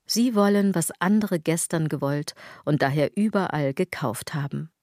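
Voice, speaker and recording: Sardonic woman, low-pitched radio drama monologue. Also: normal speed, darkish tone, dry recording, studio quality. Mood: ironic. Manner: ironic, declamatory, wordplay-laden delivery. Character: sardonic aging woman musing on fashion and consumerism.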